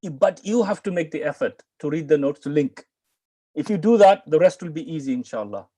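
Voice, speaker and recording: Fast-talking teacher on a video call. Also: male voice, on the high side, animated, dark tone, breathy edge, no room sound. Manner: earnest, repeats and restarts, coaxing students. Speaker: Arabic teacher. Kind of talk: live online class talk. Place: home room.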